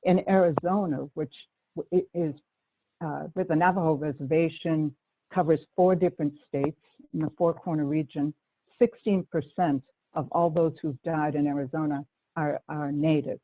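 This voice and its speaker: A woman's voice: sits low, fairly even intonation, dry recording. Boston-accented woman on a tinny line. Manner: earnest, halting with restarts. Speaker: panelist, Native community organizer. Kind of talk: webinar panel remarks. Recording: tinny phone-like line, faint hiss.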